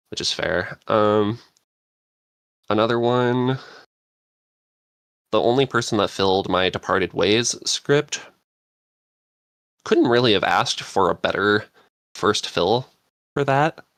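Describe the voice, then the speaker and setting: Male podcast panelist, deep-pitched and unhurried, informal, meandering delivery. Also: fairly bright tone, smooth, no room sound, clean studio sound. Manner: rambling, searching for words. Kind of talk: casual chat.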